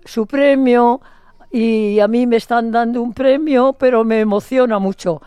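Older spanish lady chatting away, lots of restarts, smooth clear voice. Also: a little high, steady tone, a little slow, dark tone, dry recording, studio quality. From Spain. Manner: chatty, playful, rambling with many restarts. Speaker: interviewee. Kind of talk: casual phone interview.

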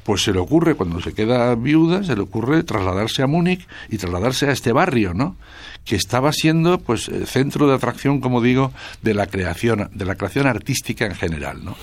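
A man's voice: medium pitch, sing-song, normal speed, no echo. An older man from Spain, a guest author speaking in a radio interview, thoughtful and hesitant with many restarts.

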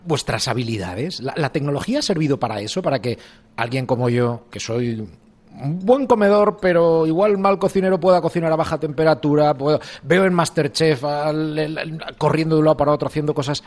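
A man's voice: on the high side, fairly quick, darkish tone. A man with a Castilian Spanish accent hosting a radio show, chatting informally as he interviews guests in a colloquial way. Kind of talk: conversational tech interview.

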